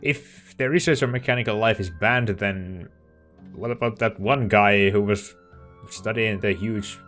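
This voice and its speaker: A man's voice: lowish voice, unhurried, fairly bright tone, soft background music. A man streaming a game with casual commentary, thinking aloud as he plays. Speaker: let's play streamer.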